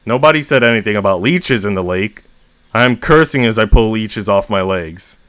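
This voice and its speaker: Guy with a lowish voice reading short stories out loud, expressive, dry close mic. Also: normal speed, no echo. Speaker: story reader and host. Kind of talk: expressive first-person short story read-aloud.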